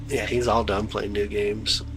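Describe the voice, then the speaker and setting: Laid-back congested man with gravelly voice. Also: on the low side, poor audio. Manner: laid-back, slangy, chatty. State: stuffed up, congested. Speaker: livestream host of telescope views. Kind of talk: casual chat with live chat viewers.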